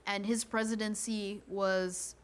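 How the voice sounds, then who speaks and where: A woman's voice: mid-range voice, little pitch movement, slow pace, smooth. A young woman from Uganda, speaking earnestly and reflectively in a documentary interview. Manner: earnest, reflective, some hesitant restarts. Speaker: student interviewee. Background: music.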